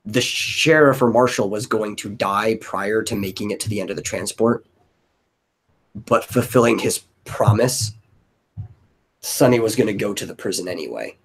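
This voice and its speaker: Man, low husky voice, casual stream host, explanatory, thinking aloud. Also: normal speed, low-quality recording. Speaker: stream host brainstorming an audio drama. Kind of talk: casual brainstorming chat.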